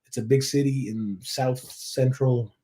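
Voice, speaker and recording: A man's voice: mid-range voice, fairly even intonation, a little slow, mellow. Chatty man from Mexico, restarts often. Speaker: church worship director, guest. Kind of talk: casual interview chat.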